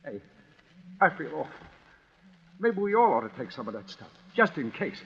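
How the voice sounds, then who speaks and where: An actor playing a jittery, cowardly dinner guest with a whining, stammering, excitable delivery in an old-time radio drama. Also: male voice, on the high side, moderate pace, gravelly, breathy edge, full character voice. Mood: pleading dread. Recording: muffled old radio recording.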